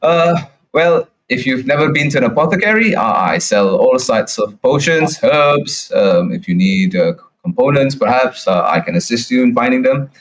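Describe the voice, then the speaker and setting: A man voicing an earnest, fussy herbalist shopkeeper and zealous protester in a tabletop game, speaking in a halting, plaintive way. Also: medium pitch, expressive, lightly acted. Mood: welcoming.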